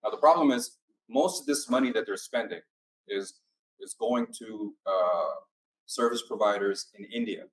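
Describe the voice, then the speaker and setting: A man from Pakistan gives a seminar talk, mixing languages as he explains. His voice sits fairly low, and his pace is unhurried, with many fillers between points. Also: rough recording. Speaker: immigration firm speaker. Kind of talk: seminar presentation.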